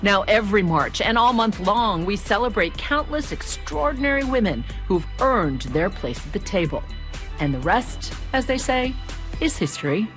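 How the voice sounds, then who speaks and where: A woman's voice: medium pitch, normal speed, faint music behind. Polished woman reporter narrating a history piece. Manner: polished, expressive reading. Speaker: news reporter. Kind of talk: scripted broadcast narration.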